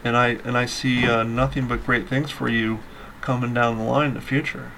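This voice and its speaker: A man's voice: medium pitch, level tone, normal speed, vocal fry, crowd noise, low-quality recording. A man interviewing two actors, giving long praise and rambling, talkative questions.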